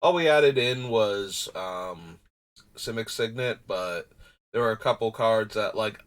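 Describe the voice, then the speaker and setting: A man with a slightly low, stuffy-nosed voice, chatting to a live stream as he sorts through cards. He rambles and thinks out loud, full of "um" and "like", and his nasal tone is clear throughout. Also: measured pace. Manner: rambling, thinking aloud with fillers. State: runny nose. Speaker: streamer building a card game deck. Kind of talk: casual live-stream talk.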